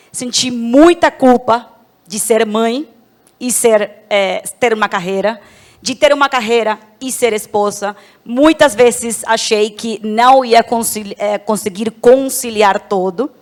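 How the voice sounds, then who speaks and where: A woman's voice: fairly high pitch, measured pace, darkish tone. Woman, Venezuelan accent, panelist, reflective, personal tone. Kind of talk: panel discussion answer.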